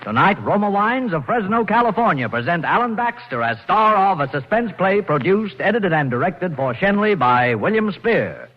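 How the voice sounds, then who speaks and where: Male vintage radio program announcer: high, sweeping, dramatic voice, muffled recording, music behind. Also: big pitch swings, moderate pace, few pauses. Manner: dramatic, emphatic. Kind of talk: 1940s radio drama introduction.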